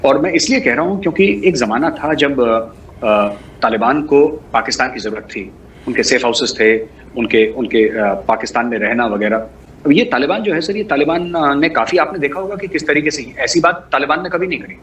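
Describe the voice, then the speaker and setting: A man's voice: medium pitch, animated, brisk, a bit bright. Emphatic man over noisy background. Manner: emphatic, rhetorical questions and repeated lists. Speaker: guest commentator. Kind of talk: political discussion, answering a host.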